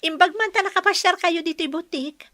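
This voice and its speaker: A woman's voice: very high voice, a little fast, pushed and bright, no room sound, clean studio sound. A woman with an Ilocano accent playing a polite, grateful boutique owner in a radio drama. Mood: grateful, hopeful. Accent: Filipino, Ilocano.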